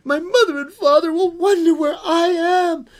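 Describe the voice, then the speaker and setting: A woman's voice: extremely high pitch, very animated, slow, darkish tone, voice pushed up, full character voice. A woman voicing a frightened little girl in a children's story, polite but pleading and stammering. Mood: worried.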